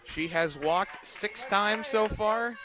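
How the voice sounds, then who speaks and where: A man doing live radio play-by-play of a softball game, calling the action in short, clipped phrases. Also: high voice, slow pace, background noise. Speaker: play-by-play announcer.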